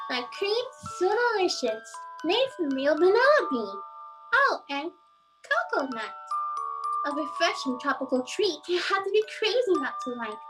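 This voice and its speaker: A bubbly little candy girl in a 1940s radio advert. The voice is very high and gushing, set back from the microphone, with music playing behind it. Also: far from the mic. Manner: gushing sales pitch. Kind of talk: 1940s radio commercial acting.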